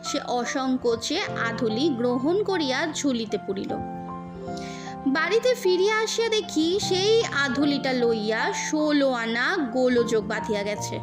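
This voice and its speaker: A woman narrating a literary story in a measured, expressive audiobook reading. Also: sits high. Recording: music bed under the voice. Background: background music.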